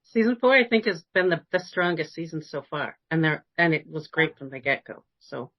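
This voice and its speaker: A woman's voice: sits low, expressive, fairly quick, muffled recording. A woman hosting a podcast interview in a casual, conversational style.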